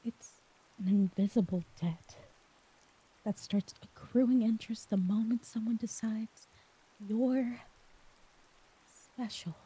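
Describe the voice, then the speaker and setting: A woman performing an emotional ASMR monologue as an exhausted, anxious character, speaking softly and haltingly, often near a whisper. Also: fairly high pitch, slightly rough, heavily acted, rough recording. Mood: weary, vulnerable. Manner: slow, halting, vulnerable delivery. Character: exhausted, anxious woman crushed by others' expectations. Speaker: audio roleplay performer.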